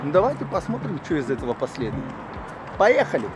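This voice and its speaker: A man's voice: a little high, wildly swooping pitch, fast talker, poor audio. A young man from Kazakhstan, hosting upbeat street interviews on a city street and laughing often. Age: young adult. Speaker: vlogger host. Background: music.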